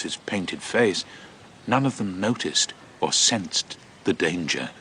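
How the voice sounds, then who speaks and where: An actor playing a shaken eyewitness messenger from ancient Greece in a radio drama, telling of horror in an intense, deliberate, theatrical monologue. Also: male voice, low voice, sharp tone, a little hiss or hum, exaggerated voice. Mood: grim, haunted.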